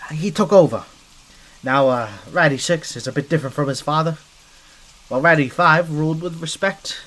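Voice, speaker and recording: A man's voice: mid-range voice, a bit bright, natural voice, rough recording. A man voicing a scrappy, wary mafia henchman rat who talks in chatty gangster slang, in an ASMR roleplay. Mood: reminiscing. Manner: streetwise gangster slang, chatty, hesitant asides.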